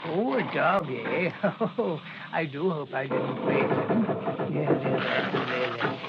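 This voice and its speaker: An actor playing a mild, bumbling English priest in a radio drama, fussy and apologetic, halting and repeating himself. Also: male voice, on the high side, lively intonation, creaky, loud music behind the voice, exaggerated voice. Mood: flustered, apologetic. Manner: fussy, flustered, halting and repetitive. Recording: dull old radio recording, heavy train noise.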